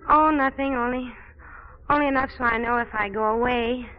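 An American actress playing a naive, devoted young stage hopeful in a 1940s radio drama. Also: female voice, fairly high pitch, steady tone, brisk, lightly acted. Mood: wistful, hesitant. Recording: dull 1940s radio recording, faint music.

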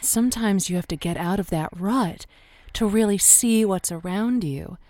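A woman's voice: mid-range voice, moderate pace, warm tone, no echo, lightly acted. A woman playing a chatty, folksy and somewhat hesitant advice host in an improvised radio drama. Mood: warmly curious. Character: chatty female advice-show host, encouraging yet easily needled. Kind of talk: semi-improvised audio drama as radio show.

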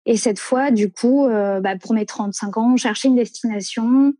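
A French woman in her thirties, telling about family travels in a relaxed, rambling way with many fillers and self-corrections. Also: a little high, normal speed, no echo. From France. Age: 30s. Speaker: guest. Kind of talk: casual conversational interview.

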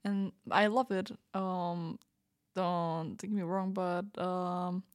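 A woman's voice: fairly low pitch, flat delivery, takes it slowly, no room sound, clean studio sound. A woman playing an earnest young medical trainee in a fiction podcast, speaking a little hesitantly. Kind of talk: fiction podcast panel interview acting.

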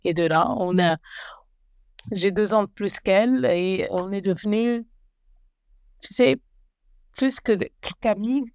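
A woman in her forties, a guest telling her life story slowly and hesitantly, often restarting as she searches for words. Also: medium pitch, a little mellow, clean tone. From Belgium and Rwanda. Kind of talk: personal life story interview.

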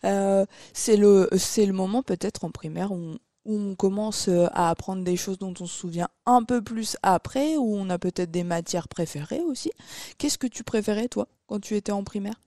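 A woman co-hosting a podcast, asking friendly, measured questions. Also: medium pitch, dark tone, no echo, studio quality. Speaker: podcast co-host. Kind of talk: casual interview chat.

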